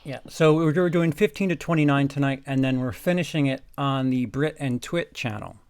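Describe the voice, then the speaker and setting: A man co-hosting a comedy reading, chatting and joking casually, often halting as he searches for words. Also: mid-range voice, darkish tone, smooth, dry recording. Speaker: co-host reading the male parts. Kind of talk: casual chat and joking commentary.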